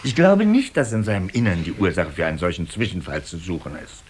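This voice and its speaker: An actor playing a worried, insistent parish priest in a radio drama, speaking earnestly. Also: male voice, low-pitched, lively intonation, fairly quick. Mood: concerned, urgent. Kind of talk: German radio drama acting. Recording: faint hiss on the recording.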